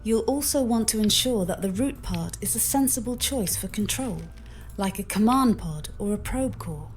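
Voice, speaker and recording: A woman's text-to-speech voice explains steps as an AI copilot. It sits at a middle pitch and speaks fluently, in polite and formal phrasing. Quiet music runs underneath. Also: animated, moderate pace. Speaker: AI copilot assistant. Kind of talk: scripted instructional explanation.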